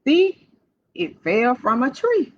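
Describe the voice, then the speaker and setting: A woman's voice: high-pitched, very animated, slow, exaggerated voice. A woman voicing a sensible squirrel from a children's storybook, sceptical and matter-of-fact, brushing off panic. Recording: dull lo-fi livestream audio.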